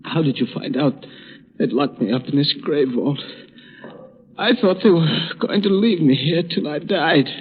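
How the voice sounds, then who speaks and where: Cavernous reverb, weak captive, husky, dragging slowly, desperate, old radio drama. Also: male voice, a little high, lively intonation, slight background noise. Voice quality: husky, breathy, feeble. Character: weak, starved, desperate male prisoner in a tomb. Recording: echoing vault reverb, dull old recording.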